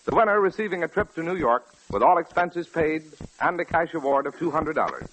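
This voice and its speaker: A man's voice: a little high, big pitch swings, fairly quick, fairly bright tone, slight background noise, poor audio. A man reading formal, polished scripted announcements on a 1940s radio broadcast.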